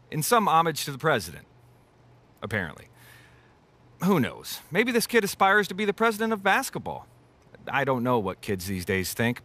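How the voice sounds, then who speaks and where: An opinionated American television sports commentator in a video game. His voice is sharp and bright, with hugely animated intonation. He is wry and emphatic. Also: a little high.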